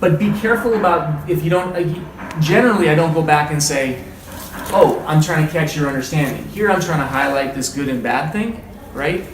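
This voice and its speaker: A man's voice: on the high side, fairly quick. Man teaching in a noisy, echoey room. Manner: encouraging, conversational, repeats listeners' answers. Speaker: read-aloud trainer. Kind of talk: interactive read-aloud teaching. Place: indoor group training session. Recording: roomy, distant, clearly noisy room recording.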